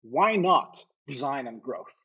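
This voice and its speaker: A man's voice: medium pitch, animated, takes it slowly, pushed and bright, husky, lo-fi sound. A man from Iran, a company founder, answering interview questions thoughtfully with frequent fillers and restarts. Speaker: company co-founder and CEO, podcast guest.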